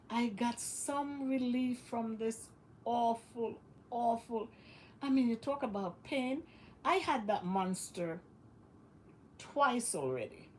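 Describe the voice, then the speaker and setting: A woman's voice: a little high, a little mellow, a little hiss or hum, rough recording. A West Indian woman talking casually to her vlog viewers, sounding congested and nasal from illness and repeating phrases for emphasis. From West Indies. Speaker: vlogger. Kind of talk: casual monologue to camera.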